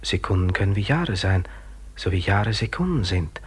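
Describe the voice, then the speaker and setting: An actor playing an eerie inner voice, cold and taunting, half-whispered and ominously insistent. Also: male voice, deep voice, big pitch swings, normal speed, breathy edge, heavily acted.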